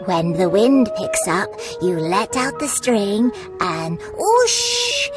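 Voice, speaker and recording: A woman playing a kindly, weary elderly kite seller in a video game, with a gently folksy manner. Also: medium pitch, big pitch swings. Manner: unhurried, gently folksy storytelling. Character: kindly, weary elderly granny who sells kites. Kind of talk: scripted video game character acting. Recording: music behind the voice. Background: game music.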